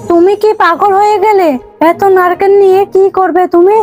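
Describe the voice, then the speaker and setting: A woman voicing a worried, scolding village wife in an animated folk tale, with a nagging, questioning way of talking. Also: extremely high pitch, normal speed, faint music behind.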